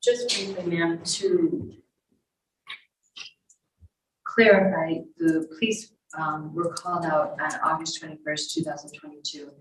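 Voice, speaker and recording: A woman working as an attorney, questioning in court in a measured, formal manner. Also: lowish voice, steady tone. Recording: echoey courtroom, distant mic, faint noise.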